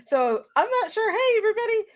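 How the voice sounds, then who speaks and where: A woman's voice: extremely high pitch, big pitch swings, quick pace, soft background music. A woman hosting a live show in casual chat, a little congested from a cold, laughing easily as she reads out viewer names.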